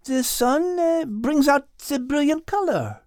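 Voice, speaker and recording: A woman's voice: high voice, animated, measured pace, a little mellow, no echo, studio quality, voice pushed up. A woman voicing a clever, elegant female French cat who explains things precisely and eagerly in a children's audiobook, with a French accent. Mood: pensive.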